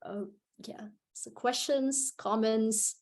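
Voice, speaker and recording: A woman's voice: a little high, fairly even intonation, slow, darkish tone. A woman moderating an online discussion, casual and slightly hesitant, on a video call.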